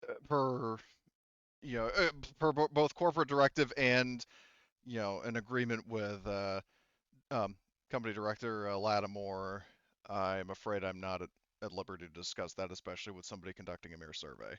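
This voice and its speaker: A man playing a guarded, evasive corporate department head in a roleplaying game, speaking in a formal, measured manner. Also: lowish voice, fairly even intonation, fairly bright tone, smooth, no room sound, muffled recording, natural voice.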